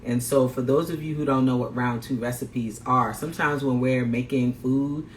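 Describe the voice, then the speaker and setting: Upbeat male chef hosting a live cooking demo, mid-pitched voice, roomy recording. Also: fairly even intonation, normal speed. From Guyana. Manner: upbeat, greets viewers, repeats phrases. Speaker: plant-based chef and health coach. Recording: roomy echo, faint background noise.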